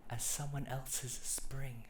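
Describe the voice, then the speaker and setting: A man's voice: medium pitch, flat delivery, takes it slowly, slight background noise. A man performing a poetic monologue live on a theatre stage before an audience. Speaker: performance artist.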